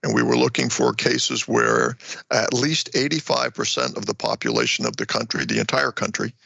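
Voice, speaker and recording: An older American man giving explanatory interview answers as an academic guest, halting and often repeating words as he goes. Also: mid-range voice, huge pitch swings, gravelly, sharp tone, breathy edge, dry recording. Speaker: retired war college professor, research guest.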